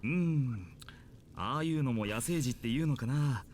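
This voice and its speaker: A man's voice: medium pitch, lively intonation, fast talker, a little mellow, a little hiss or hum, lightly acted. An actor voicing a chatty, mildly amused man in authority in a visual novel. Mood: musing. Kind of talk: Japanese visual novel voice acting.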